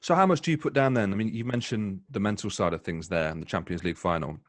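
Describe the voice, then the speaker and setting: A man's voice: on the low side, fast, no room sound. A man hosting a casual football podcast, asking long, jokey questions. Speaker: podcast host.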